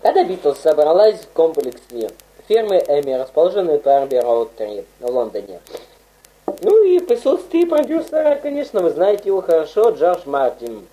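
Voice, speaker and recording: A woman playing a mock lecturer in a comedy skit, talking haltingly with many fillers. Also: low-pitched, sing-song, mellow, poor audio. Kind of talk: parody radio program.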